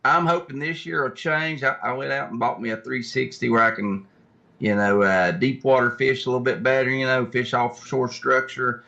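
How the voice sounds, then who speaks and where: A man's voice: medium pitch, fairly even intonation, moderate pace, gravelly, bright, forceful tone. A man chatting casually as an interview guest in a plainspoken way, on dull lo-fi audio. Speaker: podcast guest, tournament kayak angler.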